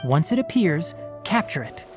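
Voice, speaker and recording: Young male ranger, analytical, precise, lively intonation, game dialogue, soft music behind. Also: fairly high pitch. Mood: brisk, decisive. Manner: measured, precise, matter-of-fact.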